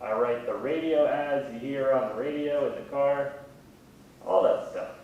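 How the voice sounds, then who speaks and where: A man in his thirties chatting casually in an interview, with a wry, gently self-deprecating way of talking. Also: a little slow, narrow, tinny audio. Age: 30s. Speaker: museum communications specialist, interviewee. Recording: very echoey room, distant mic, faint rumbling noise.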